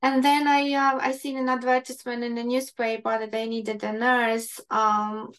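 A woman's voice: fairly high pitch, level tone, normal speed, a bit bright. A woman in her fifties from Ukraine with a Ukrainian accent, a doctor telling her life story in an interview with many fillers and restarts. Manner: hesitant, many fillers and restarts, non-native grammar. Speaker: guest doctor.

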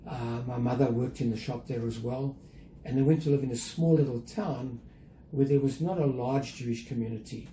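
An older man with a South African accent, talking reflectively and carefully as an interview guest, with measured, hesitant phrasing. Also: medium pitch, steady tone, warm tone. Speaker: interview guest, museum chief executive. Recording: roomy echo, faint background noise.

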